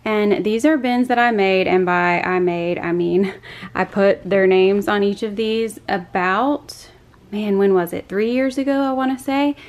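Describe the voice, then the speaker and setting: A woman's voice: medium pitch, unhurried. A woman, chatting casually in a voice-over for her home vlog. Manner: chatty, confiding, says y'all. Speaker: vlogger.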